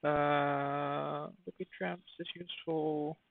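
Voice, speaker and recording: A man's voice: a little high, little pitch movement, slow, vocal fry, dry recording. A man giving casual live commentary while streaming a game, thinking aloud with hesitant fillers. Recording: dry, phone-like narrow sound.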